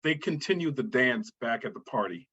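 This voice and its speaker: Male narrator, husky voice, screenplay directions, scripted reading, dull muffled recording. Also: mid-range voice, expressive, moderate pace, fairly bright tone.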